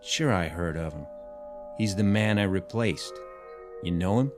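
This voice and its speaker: An actor playing a steady, plain-spoken small-town sheriff in a radio drama, measured and folksy. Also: male voice, low-pitched, soft background music. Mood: questioning.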